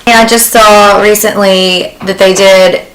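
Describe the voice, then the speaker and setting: A woman of medium pitch talks casually with friends on a podcast, full of "like" and asides. The room around her is echoey. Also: level tone, unhurried, a bit bright, slight background noise. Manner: chatty and conversational, with lots of "like". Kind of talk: casual group podcast discussion.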